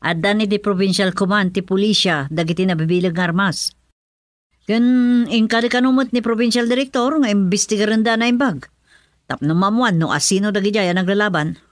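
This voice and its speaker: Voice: mid-range voice, fairly quick, dry recording, studio quality, close to an everyday voice. An actor playing a respectful aide in an Ilocano radio drama. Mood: reassuring.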